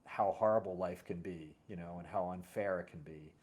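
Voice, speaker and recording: A middle-aged man hosting a casual, personal conversation, telling stories in a halting, reflective way. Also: low-pitched, a little mellow, lo-fi sound. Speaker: host.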